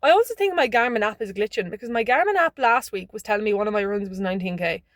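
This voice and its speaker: A woman rambles cheerfully to her camera, now and then breaking off mid-word to restart. Her voice is a touch hoarse and phlegmy, and her pitch sits in an ordinary middle range. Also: lively intonation, fast, slight background noise. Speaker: vlogger. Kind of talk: casual vlog chat.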